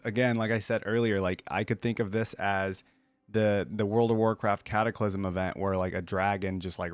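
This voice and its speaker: Low-voiced man, dry close-miked casual commentary. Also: dry recording. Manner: enthusiastic fan talk, informal. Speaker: reaction video host.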